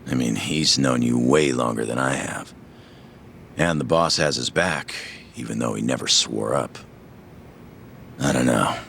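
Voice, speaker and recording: A troubled yakuza grunt in a game, really low and gravelly, bit forceful, gruff. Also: male voice, measured pace, long pauses, slight background noise, heavily acted. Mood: suspicious. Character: troubled, wary low-ranking yakuza man. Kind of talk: dramatic game voice acting.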